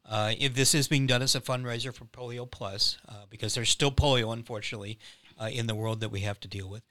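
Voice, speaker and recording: Male interview guest, bright and crisp-edged tone, very dry sound, explains things conversationally with halting asides. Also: medium pitch, steady tone, dry recording. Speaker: playwright guest.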